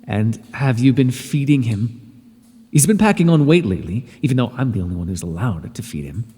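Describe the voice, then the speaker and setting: A haughty, irritable male god of the underworld, played by a man, scolds with dry sarcasm in a warm, mellow voice. His pitch swings widely from line to line. The sound carries a long reverb over faint ambient noise. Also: animated, fairly quick, slightly rough. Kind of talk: ASMR audio roleplay.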